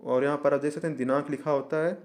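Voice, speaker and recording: A man's voice: on the high side, steady tone, fast talker, darkish tone. Methodical North Indian man explaining a document. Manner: methodical, repeats and glosses each term. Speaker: presenter of an exam information channel. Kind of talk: explanatory lesson, reading a document aloud and explaining.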